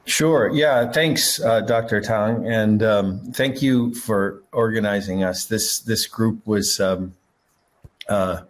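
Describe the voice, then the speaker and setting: A middle-aged man, a school head, telling his story on a panel over a video call with many pauses and restarts. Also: on the low side. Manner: halting, many fillers and restarts. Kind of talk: panel talk.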